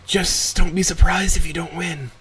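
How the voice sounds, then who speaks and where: Skeptical girl done by a male storyteller, higher-pitched, blunt, unimpressed delivery. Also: fairly even intonation, moderate pace, a bit bright, slightly rough, full character voice. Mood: snide. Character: skeptical, blunt young daughter needling her family.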